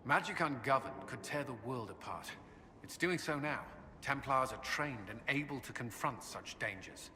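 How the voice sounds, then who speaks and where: An actor playing an earnest, haunted military commander and former knight who is tender in love, in a video game cutscene. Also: male voice, mid-range voice, lively intonation, bright, forceful tone. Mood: earnest, grave. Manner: halting and hesitant when speaking personally. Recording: long reverb, background noise.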